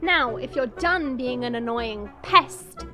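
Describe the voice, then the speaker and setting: A woman's voice: on the high side, lively intonation, slow, pushed and bright, soft background music, exaggerated voice. A woman playing a haughty, short-tempered dragon princess in an audio drama, imperious and mocking. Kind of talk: audio roleplay drama acting.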